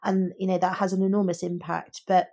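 A woman's voice: fairly low pitch, flat delivery, a little fast, dark tone. A woman co-hosting a conversational book discussion, thinking aloud in long, emphatic explanations. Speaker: podcast co-host.

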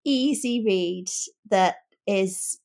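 A woman's voice: medium pitch, very slow, creaky, a little mellow. A woman with a mid-range voice talks about books as a podcast co-host. Her delivery is chatty and informal, as if thinking aloud in conversation. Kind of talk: casual book chat.

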